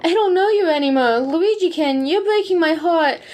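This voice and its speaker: A woman's voice: very high voice, expressive, moderate pace, a little mellow. A woman playing an earnest young noblewoman and wife in a parody audio play, pleading melodramatically. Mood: heartbroken.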